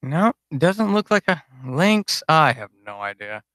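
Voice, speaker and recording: A man's voice: on the high side, sing-song, unhurried, fairly bright tone, no room sound. A man chatting casually and unscripted to his supporters on a livestream, rambling, informal and self-deprecating as a podcast host.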